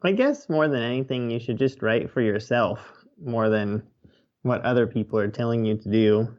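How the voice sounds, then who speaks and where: A man's voice: mid-range voice, normal speed, darkish tone. A man giving plain-spoken, easygoing answers as a guest in a casual interview, on slightly dull lo-fi sound. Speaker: interview guest, nature writer.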